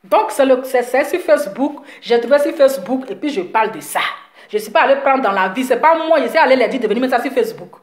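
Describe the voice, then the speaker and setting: A high-voiced woman rants heatedly to her online audience, circling back to the same points over and over. She sounds a little congested from a cold, and her voice rings in an echoey room. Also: expressive, fast talker. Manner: heated, repetitive, scolding. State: slight cold. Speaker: social media commentator. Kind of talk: live video rant to followers.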